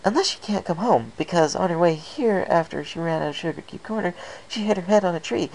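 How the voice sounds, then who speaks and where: A woman's voice: fairly low pitch, expressive, a little fast, darkish tone, pitched above the performer's own voice, low-quality recording. A woman voicing a bubbly, scatterbrained young female pony who rambles breathlessly in run-on sentences. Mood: frantic speculation.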